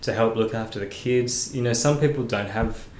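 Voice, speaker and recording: A man's voice: lowish voice, moderate pace, darkish tone. A man with an Australian accent, a podcast guest chatting casually, friendly and hesitant with many ums. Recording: roomy echo with faint noise.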